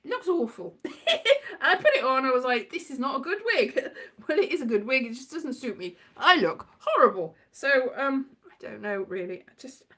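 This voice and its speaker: A woman's voice: sits high, very animated, moderate pace, fairly bright tone. A woman chatting in a casual, rambling monologue, with a scatterbrained, giggly, self-deprecating manner. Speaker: performer and host, former journalist.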